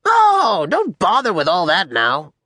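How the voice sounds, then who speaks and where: Audiobook warlord, high grating voice, extremely animated pitch, mocking, imperious, pompous, dry close sound. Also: male voice, a little slow, no room sound, full character voice. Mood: amused, boastful. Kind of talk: audiobook character acting.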